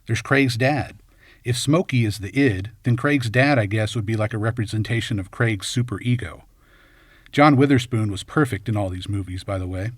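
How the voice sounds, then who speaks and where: A man narrating a scripted film analysis in measured, short punchy phrases. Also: lowish voice, no room sound, clean studio sound. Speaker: video essay host and narrator.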